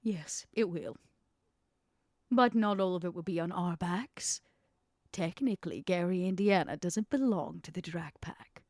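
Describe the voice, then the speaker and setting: A woman playing an imperious, ruthless female vampire schemer in a tabletop roleplay, speaking deliberately with dramatic pauses. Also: on the low side, a little mellow, dry recording, studio quality. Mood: calculating, persuasive. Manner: deliberate, dramatic pauses, stressed key words. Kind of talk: in-character tabletop roleplay acting.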